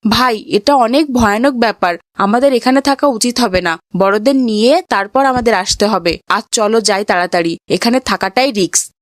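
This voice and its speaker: A woman's voice: fairly high pitch, moderate pace, dry recording, studio quality, natural voice. A woman playing an earnest, principled young boy in a cartoon. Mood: alarmed. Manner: earnest, righteous. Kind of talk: cartoon voice acting.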